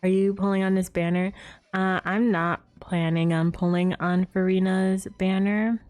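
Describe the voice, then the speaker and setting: A woman's voice: medium pitch, level tone, measured pace, darkish tone, faint music behind. A woman hosting a casual gaming livestream, chatting with viewers in a bubbly, giggly way. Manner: bubbly greetings, giggles often. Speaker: live streamer host.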